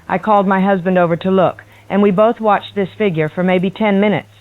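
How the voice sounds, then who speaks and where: A woman's voice: medium pitch, fairly even intonation, a little fast, no echo. A woman playing an unnerved, insistent eyewitness calling a radio show over a telephone line. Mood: uneasy. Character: unnerved married woman, watchful eyewitness, resolved to flee. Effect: telephone line filter. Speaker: radio phone-in caller. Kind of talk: radio horror drama. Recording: tinny narrow phone line.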